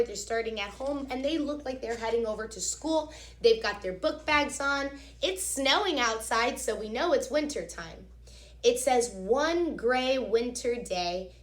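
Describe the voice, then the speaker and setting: A woman reading a picture book aloud as a teacher, expressively, pausing to explain things directly to young children. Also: fairly high pitch. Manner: expressive, instructive, addresses young listeners directly. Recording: echoey, reverberant room.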